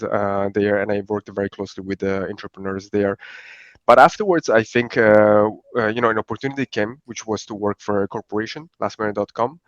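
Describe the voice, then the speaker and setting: Male podcast guest, from Italy, low voice, easygoing and rambling, dry muffled recording. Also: no room sound. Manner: relaxed, rambling with many fillers. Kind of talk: conversational podcast interview. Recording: dry, dull lo-fi sound.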